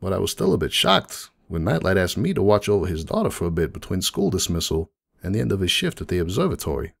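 A man's voice: deep voice, sing-song, fast, gravelly, heavily acted. A man voicing a world-weary middle-aged pony who runs a coffee shop, telling his story in a folksy, wistful, confiding way as a dramatic monologue. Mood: fond, reminiscing. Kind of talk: dramatic monologue reading of fan fiction. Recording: music behind the voice.

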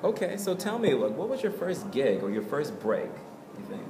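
An upbeat young man leads a casual interview, cheerfully prompting his guest with questions. His voice sits in the middle of the male range. He is recorded by a camera mic in an echoey hotel room with steady noise behind him. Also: medium pitch, moderate pace. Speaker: interviewer, event host. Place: indoors in a hotel at a fashion show. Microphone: camera mic at arm's length.

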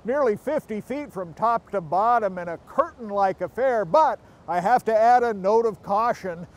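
A man's voice: high-pitched, expressive, normal speed. A middle-aged man hosting a travel show, narrating in an upbeat, folksy way, sometimes on camera outdoors. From Oregon. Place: outdoors in woods and by a roadside.

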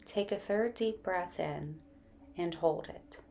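A woman narrating a guided meditation in a gentle, soothing, unhurried manner. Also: on the low side, vocal fry, a little hiss or hum.

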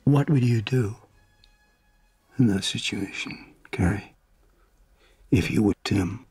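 An actor in a radio drama playing an exacting, domineering American film director who talks deliberately and keeps checking he is followed. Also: fairly low pitch, darkish tone, breathy edge, faint music behind, full character voice. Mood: measured, probing. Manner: deliberate, probing, punctuates with checking questions. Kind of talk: naturalistic radio drama acting.

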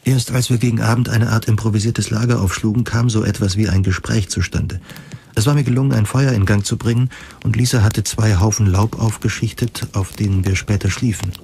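A male narrator tells a first-person sci-fi story in a scripted audio drama style. His voice is fairly low and creaky, with clearly audible atmospheric noise behind it. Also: steady tone, brisk, a little mellow.

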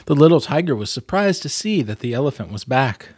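A man's voice: medium pitch, big pitch swings, brisk, darkish tone, breathy edge, no echo. A man in his thirties reading a children's book aloud expressively, with casual, chatty asides, close to a desktop microphone. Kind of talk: storybook reading with casual commentary. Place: small plain room indoors.

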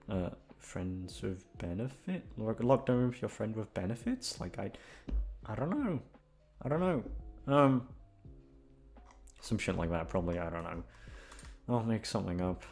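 A man's voice: fairly low pitch, a little mellow. A young man chatting off the cuff to his listeners, rambling and hesitant, with casual swearing. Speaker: ASMR audio creator, voice actor.

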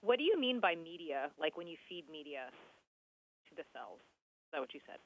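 A woman podcast interviewer asking casual, informal questions with halting phrasing. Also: sits low, very animated, slight background noise. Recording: dull, band-limited remote link.

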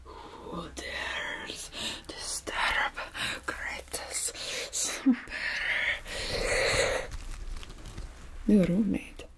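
A woman playing an ancient, haughty, aggrieved vampire in a comic ASMR roleplay, theatrical and breathy, often sinking into whispers. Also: medium pitch, extremely slow. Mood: menacing, confused. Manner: theatrical, indignant, grumbling. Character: ancient, haughty, aggrieved seven-hundred-year-old vampire.

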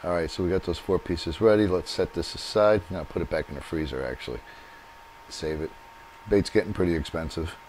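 Deep-voiced man demonstrating at a workbench. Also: a little fast, darkish tone, a little hiss or hum. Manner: explains step by step while working with his hands. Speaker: DIY channel host. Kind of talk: hands-on how-to demonstration. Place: home workshop at a workbench, indoors.

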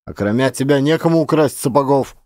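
A man voicing an angry, officious peasant village elder, scolding and accusing loudly, in an audio play. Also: mid-range voice, fairly quick, no room sound, clean studio sound, natural voice. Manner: loud, accusing.

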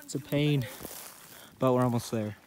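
A man's voice: mid-range voice, moderate pace, darkish tone, slight background noise. A young man chatting casually in slang for an outdoor camping vlog, recorded on a handheld camera. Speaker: camping vlogger. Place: outdoors in brushy canyon and caves. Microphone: handheld camera or phone.

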